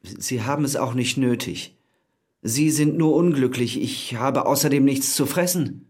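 An actor playing a ruined, chatty schemer in an audio drama, pushy and wry, with a raspy voice. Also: male voice, mid-range voice, unhurried, a little mellow. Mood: pushy, eager. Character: ruined, fast-talking schemer with wry gallows humour.

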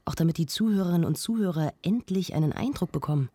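An actress playing an intrigued, reverent female culture presenter in a radio play, with a soft, husky, creaky voice. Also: fairly low pitch, expressive, quick pace, no echo, clean studio sound. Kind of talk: radio play acting as an interview.

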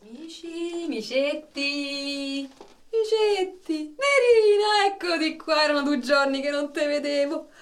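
An actress playing a doting carer of stray cats, cooing to them in a radio play. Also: female voice, high voice, slow, recorded from a distance. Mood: cooing affection.

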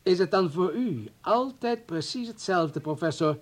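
An actor playing an insinuating political official in a radio play, hiding threats behind halting politeness. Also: male voice, sits high, lively intonation. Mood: veiled threat. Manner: hesitant mock politeness, veiled threats. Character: insinuating male political official, smooth-mannered and menacing.

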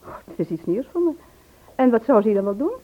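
An actress playing a curt, dismissive private secretary in an old Dutch radio play. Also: female voice, a little high, sing-song, fast talker. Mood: sceptical, dismissive. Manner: curt, officious. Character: brisk, dismissive female private secretary guarding her boss. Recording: tinny phone-like old radio recording.

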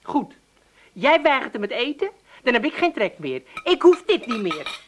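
A caring, worried housewife in a radio comedy. Her high voice pleads and insists as she fusses over the family. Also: very animated, moderate pace, slight background noise. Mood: concerned. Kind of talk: 1980s radio comedy acting.

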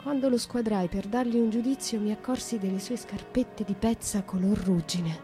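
An actress playing a young, tormented noblewoman who slowly and intimately confesses her past to a nun in a radio monologue. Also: medium pitch, warm tone, faint music behind, natural voice. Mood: grave, confessional. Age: young adult.

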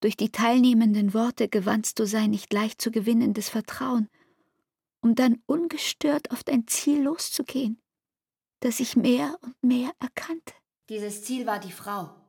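A wounded young woman in a radio play, reproaching her lover. Her voice is husky and breathy, close and dry, with a creaky edge and a searching, intimate manner. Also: fairly high pitch, measured pace, a little mellow, no echo, full character voice. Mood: bitter, reproachful. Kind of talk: literary radio drama acting.

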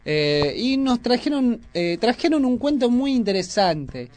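A man's voice: very high-pitched, very animated, unhurried, darkish tone, no room sound, soft background music. A man with a Buenos Aires Argentine accent chatting casually as a radio host.

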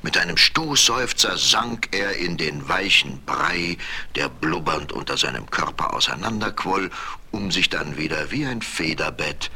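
A man's voice: sits low, measured pace, pushed and bright, a little hiss or hum. A man narrating a scripted fairy tale in a theatrical, dramatic storytelling manner.

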